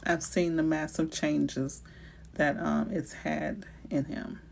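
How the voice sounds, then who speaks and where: A woman's voice: low-pitched, little pitch movement, slow pace, gravelly, darkish tone, a little hiss or hum. Chatty middle-aged New Orleans woman. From New Orleans, Louisiana. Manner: chatty and playful, with slang and asides. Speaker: realtor hosting a live Q&A. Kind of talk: casual live-stream chat answering viewers.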